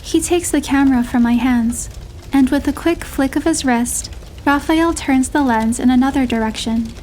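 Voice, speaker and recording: A woman narrating a romantic first-person story in a measured, expressive storytelling manner. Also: sits high, a little hiss or hum, poor audio. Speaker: narrator and heroine voice.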